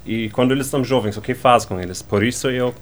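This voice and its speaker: A man's voice: medium pitch, fast talker. A man whose first language is German, speaking Portuguese with a German accent and slightly off grammar, often tagging his sentences with questions. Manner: slightly ungrammatical, frequent tag questions. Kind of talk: conversational interview answers.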